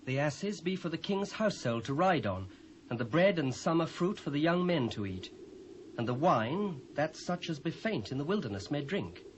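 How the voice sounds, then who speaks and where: A man's voice: a little high, very animated, moderate pace, a little hiss or hum, rough recording. A British man playing a humble, fawning royal servant in a dramatised scripture, deferential and declamatory in archaic English. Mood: deferential, explaining. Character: humble, fawning male servant addressing his king.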